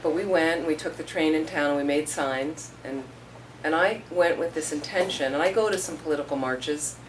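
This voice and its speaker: A woman's voice: sits low, moderate pace. A middle-aged woman giving an informal Buddhist talk, telling stories in a conversational way and acting out what people said. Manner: conversational, storytelling with acted-out quotes. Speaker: Buddhist teacher. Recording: distant mic with faint room noise.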